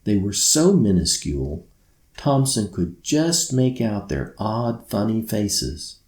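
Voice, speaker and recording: A man's voice: on the low side, very animated, takes it slowly, darkish tone. A man reading his own children's story aloud as scripted storybook narration. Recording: roomy echo.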